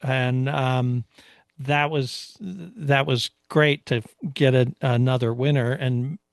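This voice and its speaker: A man co-hosting a casual motorsport recap, speaking in a reflective, slightly halting way. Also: medium pitch, fairly even intonation, gravelly, no room sound, clean studio sound. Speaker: podcast co-host.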